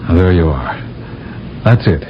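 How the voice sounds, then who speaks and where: A smooth-talking space captain. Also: male voice, sits low, lively intonation, unhurried, lo-fi sound, a little hiss or hum. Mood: persuasive. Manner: wry, easygoing storytelling. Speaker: narrator of his own story. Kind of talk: 1970s radio drama acting. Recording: vintage radio broadcast with music bed.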